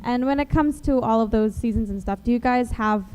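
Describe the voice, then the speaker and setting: A woman's voice: fairly high pitch, brisk, a little mellow, clear, resonant tone, low-quality recording. A young woman in her teens hosting a show with casual, chatty, bubbly interviewing. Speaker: show host.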